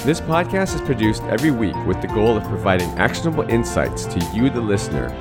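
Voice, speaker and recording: Male podcast host for interview show, lower register. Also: very animated, fast talker, over loud music. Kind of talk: scripted intro and casual interview.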